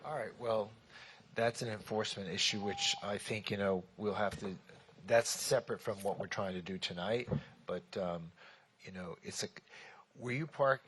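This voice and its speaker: A man's voice: lowish voice, normal speed, a bit bright, a little hiss or hum. A man chairing a city commission meeting, speaking informally and reassuringly to members of the public.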